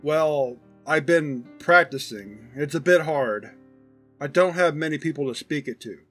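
A man's voice: fairly high pitch, expressive, measured pace, a bit bright, faint music behind. A man voicing a concerned, hesitant adult grandson with an awkward, earnest, reserved manner in a story reading.

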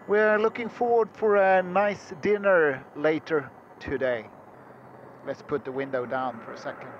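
A man's voice: high voice, lo-fi sound, a little hiss or hum. A middle-aged man, talking casually through a headset mic while driving a sports car on a track. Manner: excited, exclaims while driving. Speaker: car vlogger. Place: inside a sports car on a racetrack.

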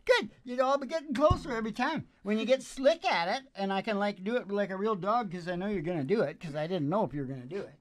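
A man's voice: sits high, fast talker, hardly any pauses, no room sound. A man hosting a live country music show, chatting casually and playfully between songs. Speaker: singer and show host. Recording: live music performance with guitar. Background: acoustic guitar.

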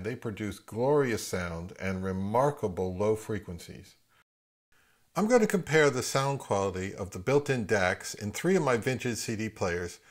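A middle-aged man with an American accent, explaining audio gear in a casual, conversational way as a channel host. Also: medium pitch. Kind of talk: casual explanatory product review narration.

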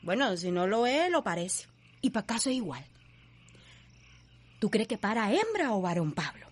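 A woman's voice: mid-range voice, sing-song, normal speed, husky. An actress playing a blunt, outspoken servant woman with a Cuban accent in a radio soap opera. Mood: sharp, insistent.

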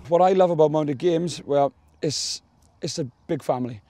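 A man's voice: a little high, normal speed, dark tone, dry recording. A man, a senior team rider, speaking in a heartfelt, rambling way in an interview.

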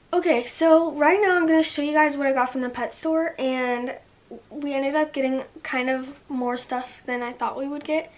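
A young woman vlogging casually to her viewers, her voice raspy from a sore throat, filming on a handheld camera. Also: high-pitched, a little hiss or hum. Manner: chatty, upbeat, talks to viewers. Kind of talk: casual vlog chat. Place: car, bedroom, outdoors. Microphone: handheld camera at arm's length.

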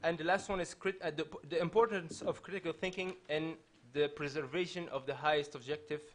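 A man in his thirties, raised in Belgium with Dutch as his first language, giving an earnest, measured lecture from a panel table in a hall, often restarting his phrases. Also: fairly high pitch, fairly even intonation, fairly bright tone. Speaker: panelist speaker. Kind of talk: public lecture. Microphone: table microphone.

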